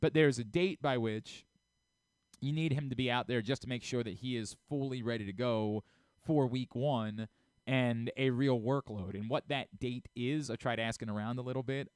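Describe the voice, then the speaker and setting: Male sports radio host, very clear smooth voice, medium pitch, dry close studio sound, casual delivery. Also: moderate pace, darkish tone, no room sound. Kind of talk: casual sports radio talk, sponsor reads.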